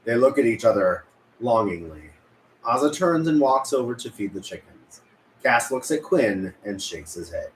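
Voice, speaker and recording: A man's voice: medium pitch, very animated, normal speed. A man narrating stage directions at a script table read. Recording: echoey room, faint noise.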